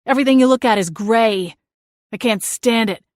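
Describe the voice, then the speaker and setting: Woman putting on a sulky dead guy ghost, higher voice, petulant, keeps whining it's not fair. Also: normal speed, no room sound, studio quality, close to an everyday voice. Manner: petulant, complaining. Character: whiny self-pitying dead brother, male ghost.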